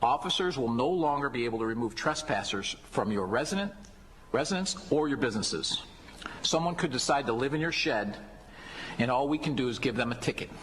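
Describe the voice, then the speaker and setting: An American man, a law enforcement official, giving a deliberate, grave public warning in a prepared statement, with an American accent. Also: medium pitch, lively intonation, fairly bright tone. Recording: noisy, reverberant hall, played clip.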